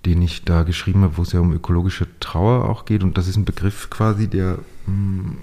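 A man's voice: low voice, flat delivery, brisk, dark tone. A man giving reflective, intellectual interview answers in a conversational way, hesitant and often rephrasing as he searches for words. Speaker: interview guest, playwright.

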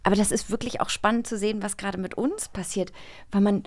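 A woman's voice: medium pitch, fairly even intonation, fast. A woman hosting a podcast in casual, conversational interview style. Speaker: podcast host.

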